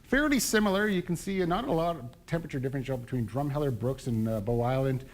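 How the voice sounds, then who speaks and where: A man's voice: mid-range voice, fast, a little hiss or hum. A Canadian man presenting the local TV weather and news, reading with many "uh" fillers. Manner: lively delivery with frequent "uh" fillers. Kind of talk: live TV weather and news read. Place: local TV studio.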